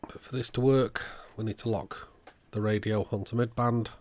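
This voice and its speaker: A man's voice: fairly low pitch, fairly even intonation, moderate pace, a little mellow. A man giving a casual, matter-of-fact repair walkthrough, thinking aloud in an understated way. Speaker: electronics repairer presenting own channel. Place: indoors at a workbench.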